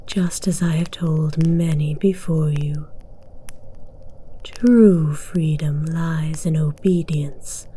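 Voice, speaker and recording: A woman plays a possessive dragon queen, low and very slow, speaking in breathy whispers. Every phrase is regal and deliberate, a seductive command in an ASMR roleplay. The tone stays dark and soft, with faint noise behind it.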